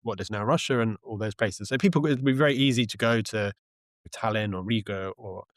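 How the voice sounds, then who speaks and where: Guy being interviewed, low voice, dry close sound, thinks out loud and restarts, explains stuff. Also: no echo. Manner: thoughtful, halting, explanatory. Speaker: interview guest, journalist and author. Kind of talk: conversational interview answers.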